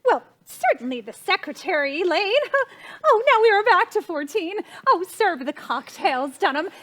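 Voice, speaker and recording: A very high, bright voice: a woman acting an excitable society hostess in a stage farce. She is melodramatic and exclamatory, swooping widely in pitch and repeating her phrases as she gets overwrought. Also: normal speed, full character voice. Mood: triumphant. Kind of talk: theatrical stage comedy acting.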